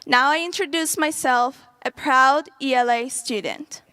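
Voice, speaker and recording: Young woman, Farsi native, graduation speaker; high, bright, dry close sound. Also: measured pace, smooth, no room sound. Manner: earnest, reading a prepared speech. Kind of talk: prepared graduation speech.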